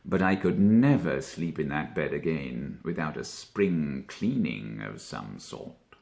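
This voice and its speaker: A man acts out a storybook fox gentleman, fussy and vindictive. The voice sinks very low and creaks as it mutters. Each phrase is drawn out slowly, grumbling with scheming menace. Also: animated, heavily acted.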